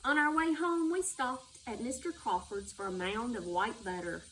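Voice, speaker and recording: Woman, children's read-aloud, slightly high voice, faint background noise. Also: normal speed, a bit bright. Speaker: school superintendent reading a children's book. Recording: distant microphone with background noise.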